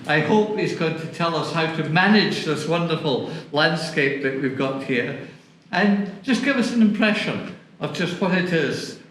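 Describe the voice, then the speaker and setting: A man's voice: a little high, expressive, unhurried, a bit bright. A man hosting an event, introducing the next speaker in a slightly hesitant way. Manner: hesitant, with fillers. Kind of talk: formal introduction. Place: event hall. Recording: echoey hall with faint noise.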